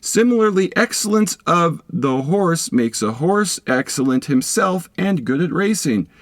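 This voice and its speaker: Man reading with lively intonation. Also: a little high, measured pace, no room sound. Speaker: audiobook reader. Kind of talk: scripted reading of a philosophy text.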